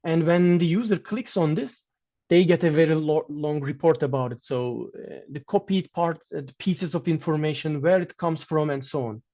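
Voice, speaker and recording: A man whose first language is Turkish, giving an academic lecture in English over slides, measured and pausing, often restarting his phrases. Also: a little high, dark tone, highs cut off. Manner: measured, with frequent restarts and fillers. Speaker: guest lecturer.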